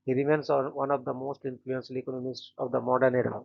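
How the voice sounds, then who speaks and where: A man hosting a daily channel, reading short scripted biographies in a flat, steady way and stumbling now and then on names. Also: medium pitch, fast talker, gravelly, lo-fi sound. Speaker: host of a daily events channel.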